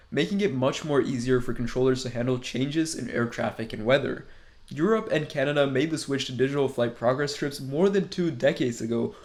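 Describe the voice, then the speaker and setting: A young man giving a scripted, measured narration for an aviation explainer. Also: a little high, darkish tone. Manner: measured, informative delivery.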